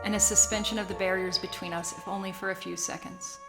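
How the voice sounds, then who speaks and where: Actress as young Sicilian woman, literary dramatic storyteller for a radio play, loud score underneath. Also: mid-range voice, little pitch movement, quick pace, natural voice. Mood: wistful, romantic. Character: breathless young Sicilian woman recalling her girlhood romance and terror. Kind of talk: cinematic radio play narration and dialogue. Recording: loud soundtrack music behind voice. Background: music.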